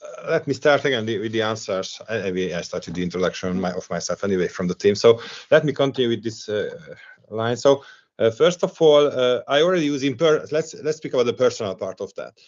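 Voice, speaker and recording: A man's voice: lowish voice, lively intonation, fairly quick, dull audio. A man with Hungarian as his first language, speaking as a panelist at a round table, hesitant, with many restarts and fillers.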